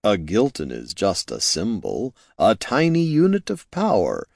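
A man doing a slow, musing mage. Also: fairly low pitch, huge pitch swings, vocal fry, a little mellow, no room sound, studio quality, full character voice. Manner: slow and measured.

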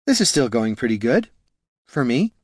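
A man's voice: mid-range voice, sing-song, normal speed, breathy edge, dry recording, clean studio sound, pitched above the performer's own voice. A man voicing a drunken female elf ranger for an audiobook, slurred, rambling and woozily insistent. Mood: drunkenly defensive.

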